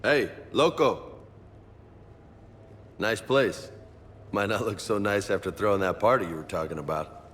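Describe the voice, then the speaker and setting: An actor playing a laid-back, teasing young soldier in a video game, with a casual, unhurried way of talking. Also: male voice, fairly low pitch, fairly bright tone, big-room sound. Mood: teasing, wistful. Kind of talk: video game dialogue acting.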